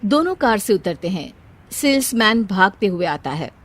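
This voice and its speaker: A woman's voice: mid-range voice, sing-song, normal speed, breathy edge. A woman narrating a scripted family story as the storyteller.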